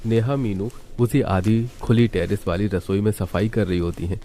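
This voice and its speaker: A man's voice: lowish voice, moderate pace, mellow. A man narrating a scripted cartoon story as the storyteller.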